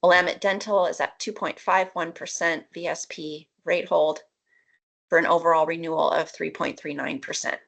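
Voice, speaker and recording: A woman presenting figures at a formal board meeting in a matter-of-fact, explanatory way, with some creak in her voice. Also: deep voice, steady tone, moderate pace, fairly bright tone, rough recording.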